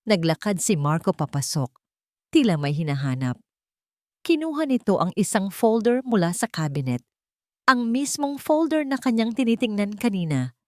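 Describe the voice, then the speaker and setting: A woman's voice: mid-range voice, big pitch swings, normal speed, warm tone, no echo, clean studio sound. Woman narrator, dramatically swooping delivery. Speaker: story narrator. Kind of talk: dramatic scripted narration of a love story.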